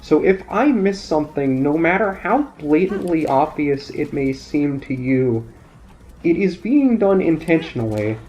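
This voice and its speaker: A man calmly commentating his gameplay, thinking aloud, his voice nasal and raspy from a sinus infection. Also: on the high side, measured pace, a little hiss or hum. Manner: calm, explanatory, thinking aloud. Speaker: let's play commentator. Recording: dull lo-fi audio, faint game music.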